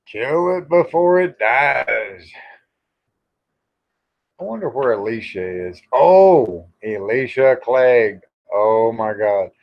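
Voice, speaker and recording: Slow-talking, low-voiced American man. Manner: folksy, plain-spoken. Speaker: livestream host. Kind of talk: casual rambling chat with live viewers. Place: outdoors in the evening. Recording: dull lo-fi livestream audio.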